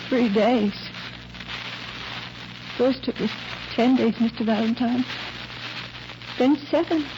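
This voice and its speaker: Fragile young woman, high-pitched, anguished, halting and pleading; vintage radio drama on a noisy old recording. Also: muffled recording. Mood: fearful, haunted. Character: frightened, fragile young woman fearing madness. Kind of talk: 1940s radio drama acting.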